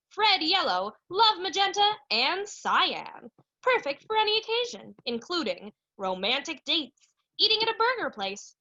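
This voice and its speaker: A woman's voice: sits high, pushed and bright, highs cut off. A woman narrating and announcing a radio play in a scripted, theatrical 1940s style.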